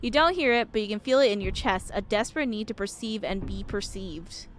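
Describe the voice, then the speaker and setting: A woman's voice: medium pitch, brisk, a little hiss or hum. A woman streamer reading a visual novel aloud and reacting expressively as she goes.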